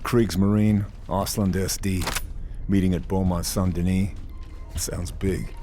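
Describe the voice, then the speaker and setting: An actor playing a grim, resolute Allied sniper in a war video game, terse and hard-bitten. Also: male voice, sits low, fairly even intonation, unhurried. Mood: muttering, focused. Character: grim, resolute male Allied sniper operative, stealthy and determined. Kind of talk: video game acting, cutscenes and mission narration. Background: music.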